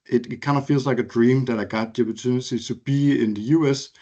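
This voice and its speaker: A man's voice: mid-range voice, steady tone, quick pace, warm tone. A man from Denmark in his thirties, reminiscing casually on a video call, hesitant with many fillers and restarts. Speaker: alumni speaker. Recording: muffled video call audio.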